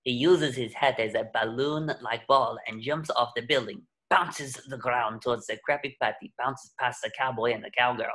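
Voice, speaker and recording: A man's voice: medium pitch, fairly even intonation, moderate pace, hardly any pauses, pushed and bright. A man narrating the action from a script at a table reading, as scripted stage directions.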